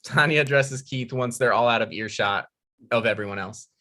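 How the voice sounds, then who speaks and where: A man's voice: medium pitch, moderate pace, bright, forceful tone. A man reading out scripted stage directions as narrator at a comedy table read.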